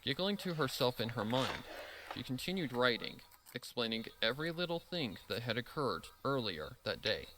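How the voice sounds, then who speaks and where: A man's voice: medium pitch, big pitch swings, normal speed, pushed and bright, poor audio. A man narrating a scripted story, reading expressively and dramatically.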